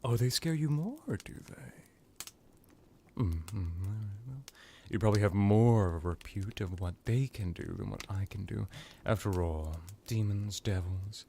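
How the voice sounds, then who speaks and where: Man playing a low, gravelly suave vampire lord. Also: mellow, a little hiss or hum. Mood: veiled threat. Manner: languid, hesitant musing, drawn-out words, drops into whispers. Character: male vampire lord, suave, menacing, condescendingly affectionate. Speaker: character roleplay performer. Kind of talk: ASMR villain roleplay monologue.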